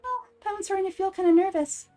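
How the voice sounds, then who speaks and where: A woman voicing an excitable, candid little fairy companion from a game, perky and easily flustered. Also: extremely high pitch, a little fast, faint music behind. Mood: excited, nervous.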